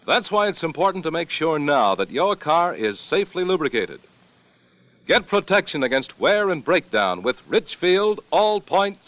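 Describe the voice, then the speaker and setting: A man reading a 1940s radio commercial in a bright, lively sales pitch. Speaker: announcer. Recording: dull lo-fi old radio recording.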